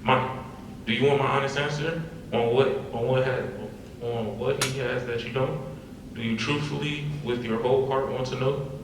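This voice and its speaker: A man playing a blunt bartender friend with a dry, deadpan manner in a script reading. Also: medium pitch, moderate pace, lightly acted. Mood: blunt, weighty. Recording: very echoey room, far mic, clear noise.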